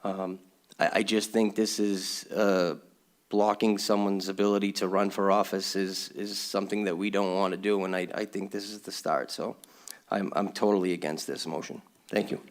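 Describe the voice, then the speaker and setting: A man speaking formally as a city councilor at a meeting, with a halting, hesitant delivery that repeats words. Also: deep voice, little pitch movement. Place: indoor public council meeting room.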